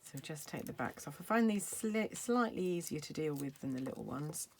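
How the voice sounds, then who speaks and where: A middle-aged woman with a slightly croaky voice, chatting casually as she demonstrates card making at her craft table. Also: low voice, animated, moderate pace, slight background noise. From United Kingdom. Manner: chatty, thinking aloud while working.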